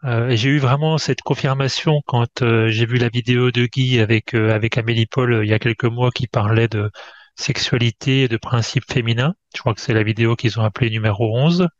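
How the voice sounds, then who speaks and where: A male host introduces his guest over a video call, often pausing and restarting as he searches for words. The audio is dull and muffled, and the sound is close and dry with no room echo. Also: medium pitch, fairly even intonation. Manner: halting, full of hesitations and restarts. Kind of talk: conversational interview.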